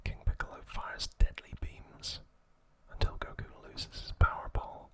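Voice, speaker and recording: A man hosting ASMR, speaking softly and haltingly in an unhurried whisper, close to the microphone. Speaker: ASMR channel host. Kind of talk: whispered ASMR, reading episode summaries and chatting to viewers.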